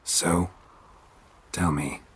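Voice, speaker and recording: An actor voicing a gruff, stoic monster hunter in a video game, gravelly and hushed. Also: male voice, low-pitched, fairly even intonation, drawn-out words, a little hiss or hum. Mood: quietly grateful. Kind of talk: dramatic video game voice acting.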